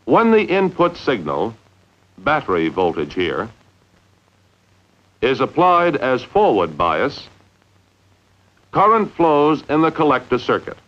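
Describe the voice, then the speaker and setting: An old, slightly muffled film soundtrack carries a man's scripted instructional narration in 1950s style. He speaks at a mid-range pitch with animated intonation, measuring out each phrase and stressing key words deliberately.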